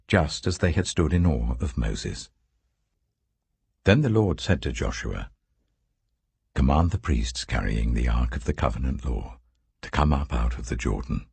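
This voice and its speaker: Deep, husky male scripture narrator. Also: a little mellow, dry recording. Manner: solemn, measured storytelling with deliberate phrasing. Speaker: Bible narrator.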